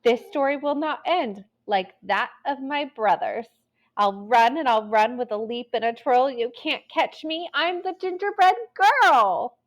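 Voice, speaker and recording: A woman's voice: fairly high pitch, a little slow, voice pushed up, natural voice, rough recording. A woman voicing a sassy, confident young gingerbread cookie girl with singsong rhyming taunts in a children's storybook read-aloud. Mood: playful, boastful.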